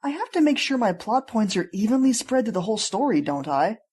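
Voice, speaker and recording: A woman's voice: on the high side, very animated, a little fast, background noise. A woman playing an earnest, scatterbrained writer who cooks up stories in a comic podcast skit. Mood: earnest, defensive.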